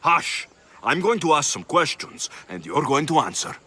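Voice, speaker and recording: An actor playing a firm, no-nonsense young Greek mercenary hero in a video game, blunt and commanding. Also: male voice, a little high, very animated, normal speed, sharp tone, breathy edge, exaggerated voice. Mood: threatening.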